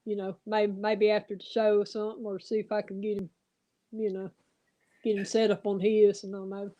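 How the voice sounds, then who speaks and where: A woman chatting as a guest on a live stream, halting and repeating her words, often saying "you know". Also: mid-range voice, flat delivery, warm tone, muffled recording. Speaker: guest caller. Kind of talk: casual live-stream chat.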